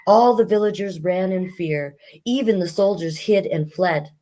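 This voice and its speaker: Lower-voiced woman reading picture books aloud. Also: unhurried. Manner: expressive sing-song reading, chatty asides to young listeners. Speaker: children's storytime host.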